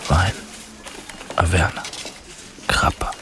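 A man's voice: slow, in a whisper, music in the background, close to an everyday voice. An actor playing a sullen man in a radio drama, muttering tersely to himself.

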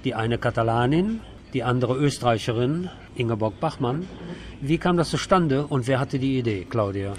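A man's voice: mid-range voice, animated, normal speed, slight background noise. A man hosting a conversational radio interview. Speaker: radio host.

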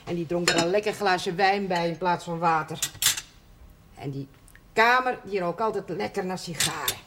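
An actress playing a nosy, scolding middle-aged housekeeper to a priest in a 1970s TV drama. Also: female voice, fairly low pitch, normal speed, close to an everyday voice. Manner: reproachful, gossipy. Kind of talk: 1970s television drama acting. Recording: noisy TV soundtrack.

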